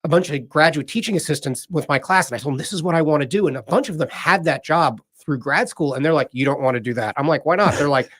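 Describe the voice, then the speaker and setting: A man's voice: a little high, quick pace, few pauses, a bit bright. A man appearing as a guest doctor in a radio studio interview, telling stories in a chatty, self-deprecating way. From Philadelphia area, USA. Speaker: guest, medical director. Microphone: studio microphone.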